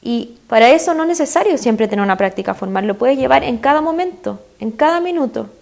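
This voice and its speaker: A woman's voice: fairly high pitch, expressive, brisk, gravelly, darkish tone. A woman with a Chilean accent, a guest expert in an interview, explaining earnestly with frequent fillers. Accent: Chilean Spanish.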